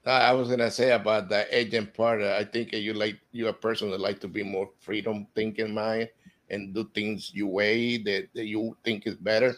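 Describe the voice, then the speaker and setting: Easygoing podcast host, low voice. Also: male voice, flat delivery. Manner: easygoing, laughs often, halting questions. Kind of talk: casual interview.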